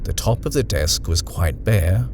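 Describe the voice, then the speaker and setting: A man reading a horror story aloud in a measured, expressive narration. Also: low-pitched, noisy background. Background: music.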